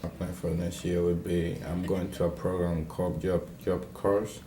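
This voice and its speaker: A young man from the Dominican Republic introducing himself on video, halting with many fillers and restarts. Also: deep voice, little pitch movement, mellow, echoey room, slight background noise. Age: young adult. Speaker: graduating senior.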